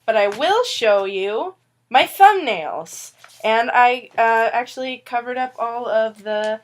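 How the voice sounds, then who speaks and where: A woman's voice: on the high side, expressive, takes it slowly. A woman who is ill with a cough gives a casual, rambling tutorial on making comics, joking at herself and a bit loopy. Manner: loopy, rambling, self-mocking. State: sick with a cough. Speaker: webcomic artist sharing tips. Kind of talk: casual tutorial talk to viewers. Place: indoors at a wooden table.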